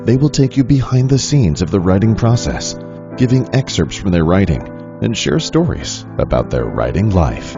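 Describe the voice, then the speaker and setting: A man's voice: on the low side, big pitch swings, moderate pace. A man reading a scripted podcast intro in a lilting announcer manner. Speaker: show announcer. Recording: dull lo-fi audio over music.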